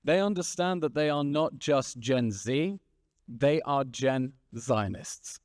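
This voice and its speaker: A man in his thirties addresses a conference audience from the stage. He speaks as a moderator in rousing, repetitive rhetorical phrases. His voice sits in a middle pitch and the sound is dry and close. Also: takes it slowly, no room sound. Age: 30s. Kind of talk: stage speech and panel moderation.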